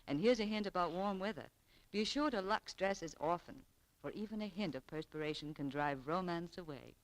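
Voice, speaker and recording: A woman's voice: fairly low pitch, expressive, normal speed, low-quality recording. A woman announcer reading a scripted 1940s radio advertisement in a polished, persuasive way. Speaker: sponsor announcer.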